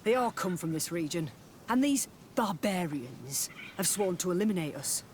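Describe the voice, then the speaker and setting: A suspicious colonial scout from a video game, hostile and quick to accuse. He speaks in a curt, soldierly way. Faint low noise sits behind him. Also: sing-song, darkish tone. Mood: grim. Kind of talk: video game cutscene acting.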